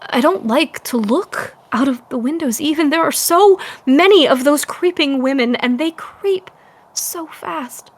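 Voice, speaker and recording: An actress playing a confined young wife sliding into obsessive madness, confiding in hushed and singsong tones in a found-footage audio drama. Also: female voice, sits high, lively intonation, unhurried. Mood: simmering, restrained. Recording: noisy lost-tape recording.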